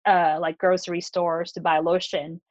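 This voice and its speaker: A woman's voice: lowish voice, level tone, moderate pace, no echo. Chatty woman, muffled dry recording. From Philippines. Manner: chatty, many fillers and restarts. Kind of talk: casual conversation.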